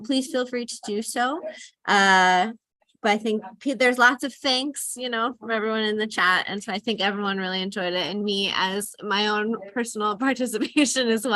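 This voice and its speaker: Woman helping out at a live event, positive n casual, bright voice, dry audio. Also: medium pitch, no room sound. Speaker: event helper relaying audience chat.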